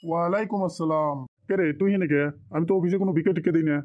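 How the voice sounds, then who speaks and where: Through dull, lo-fi sound comes a stingy cartoon boss acted out by a man. His voice is fairly high for a man, brusque and scolding. He talks down in a rustic Bengali dialect, bullying and scheming. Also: steady tone, racing, natural voice. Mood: scornful. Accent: rural Bengali dialect. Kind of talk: amateur cartoon drama dialogue.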